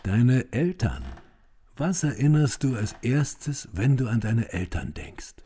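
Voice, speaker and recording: An actor playing an insistent male psychotherapist in a radio crime drama, deliberate and coaxing as he addresses a patient. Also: medium pitch, big pitch swings, dark tone, breathy edge, full character voice. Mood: probing. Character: insistent male psychotherapist leading a probing session.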